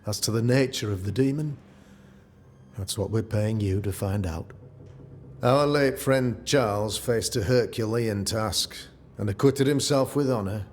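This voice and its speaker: An actor playing a formal, pious and condescending colonial gentleman in a video game cutscene, with a measured, pompous delivery. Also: on the low side, slight background noise, natural voice. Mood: dryly condescending.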